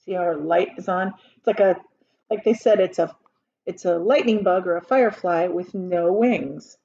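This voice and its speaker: A woman reading a children's story aloud like a teacher, expressively, pausing to explain things in simple asides. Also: on the low side, vocal fry, warm tone. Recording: dull, lo-fi sound.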